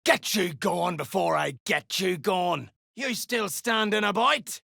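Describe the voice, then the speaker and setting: An actor playing a gruff, greedy duergar mercenary dwarf in a video game, with a gravelly voice and coarse, threatening speech. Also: mid-range voice, takes it slowly, pushed and bright, dry recording, studio quality, heavily acted. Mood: angry, threatening. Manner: coarse, swearing, dialect-heavy threats. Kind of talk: video game character voice acting.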